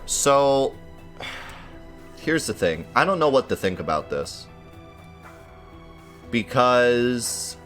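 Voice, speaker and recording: A man hosting a gaming channel, sounding a bit nasal and congested from a cold, talking casually and haltingly with lots of fillers as he airs his gripes. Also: fairly low pitch, fairly bright tone. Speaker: let's play host. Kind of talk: casual gaming commentary to viewers.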